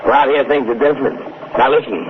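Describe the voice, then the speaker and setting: An actor playing a menacing masked kidnapper in a 1950s radio drama, curt, commanding and scornful. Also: male voice, mid-range voice, steady tone, fast, natural voice. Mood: mocking, menacing. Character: menacing masked kidnapper, cold, bullying crime boss. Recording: muffled, hissy 1950s radio recording.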